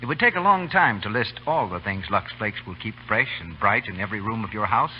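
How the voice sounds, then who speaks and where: Lively American announcer on muffled old radio. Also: male voice, fairly low pitch, fairly quick, slight background noise. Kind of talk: 1930s radio announcing and sponsor pitch.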